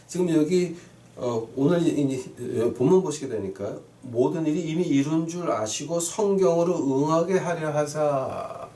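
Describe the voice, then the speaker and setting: Echoey, mellow male pastor preaching. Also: a little high. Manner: emphatic, repeats key phrases, questions the listeners. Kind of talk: sermon with scripture readings and rhetorical questions. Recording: roomy echo, distant mic, faint noise.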